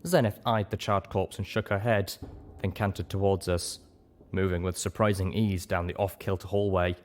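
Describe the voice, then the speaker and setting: Deep-voiced man narrating an audiobook. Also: steady tone, moderate pace, slight background noise. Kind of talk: scripted story narration.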